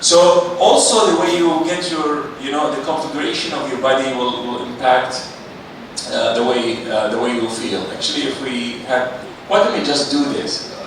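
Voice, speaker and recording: Animated man in an echoey hall. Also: medium pitch, normal speed. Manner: animated, coaxing the audience. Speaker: panellist. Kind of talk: seminar talk leading an audience exercise. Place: large seminar hall. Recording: echoey hall, distant mic, noisy.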